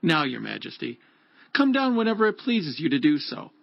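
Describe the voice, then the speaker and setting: A man's voice: on the high side, very animated, normal speed, vocal fry, no room sound, heavily acted. A man playing an eager, fawning informant addressing his king in a scripture drama. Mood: eager, obsequious. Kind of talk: dramatized scripture audio acting. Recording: dry, dull lo-fi audio.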